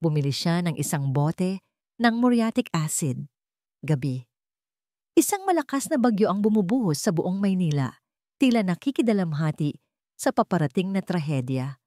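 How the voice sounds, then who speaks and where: Filipino woman narrating drama, lowish dry voice. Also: big pitch swings, normal speed, long pauses, dark tone, no echo. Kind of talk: dramatic scripted story narration.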